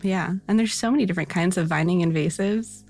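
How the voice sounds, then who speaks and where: A woman's voice: on the low side, quick pace, darkish tone, soft background music. A woman chatting casually as a panelist, playful and enthusiastic in how she talks. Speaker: podcast panelist. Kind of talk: casual chat.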